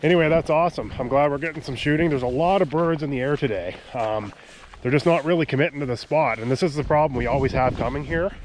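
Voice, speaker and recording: A man's voice: a little high, quick pace, a little hiss or hum, low-quality recording. A man from Nova Scotia, stuffed up from a cold, chatting casually to the camera outdoors at a tidal marsh and often trailing off with "so" and "um". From Nova Scotia, Canada. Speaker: hunting vlogger, channel host. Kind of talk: casual outdoor vlog narration.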